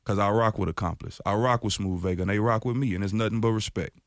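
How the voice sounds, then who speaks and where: A man's voice: lowish voice, quick pace, dry recording. A man from Oak Cliff, Dallas, a rapper talking with passion as a guest in a radio studio. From Oak Cliff, Dallas, Texas. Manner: impassioned, animated, mimics others in raised voice. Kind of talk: freestyle and radio interview talk.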